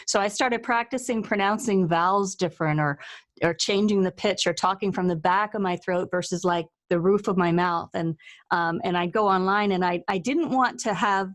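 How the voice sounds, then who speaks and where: A woman with a medium-pitched voice hosts a casual interview. She talks in a chatty, informal way, as an author sharing her own process in conversation. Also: moderate pace.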